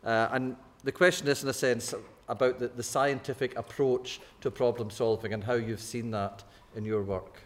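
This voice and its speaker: A man's voice: medium pitch, steady tone, normal speed, few pauses. A man moderating a church panel discussion, genial and joking, often restarting his phrases. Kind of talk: hosting a church discussion evening.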